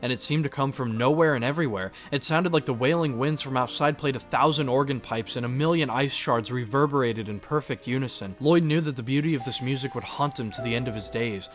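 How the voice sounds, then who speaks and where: A man's voice: mid-range voice, a little fast, few pauses. A man reading scripted fantasy adventure narration as the storyteller. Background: music.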